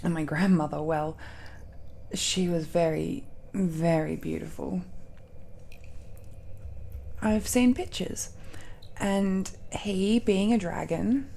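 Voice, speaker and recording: A woman performs a secretive, affectionate dragon-blooded girlfriend in a romantic audio roleplay. She speaks very slowly in a warm, dark tone, tender and hesitant, with many pauses. There is a light noise behind the voice. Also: on the low side, gravelly. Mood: earnest, wistful. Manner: hesitant, tender, pausing often.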